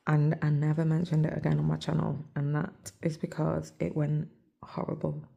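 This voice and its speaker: A hoarse English woman talks in a soft, near-whispered murmur as she chats casually over her stitching. Her low voice stays level and mellow, with a gravelly, husky roughness. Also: completely flat delivery, normal speed, highs cut off. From England. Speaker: cross-stitch vlogger. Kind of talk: casual chat while stitching. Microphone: phone.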